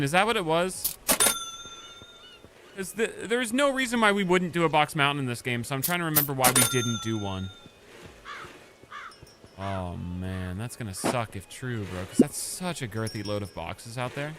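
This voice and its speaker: A male streamer chatting casually with his live chat while playing a game, swinging from mumbled asides to loud outbursts. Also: fairly high pitch, noisy background. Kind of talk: casual gaming livestream chat.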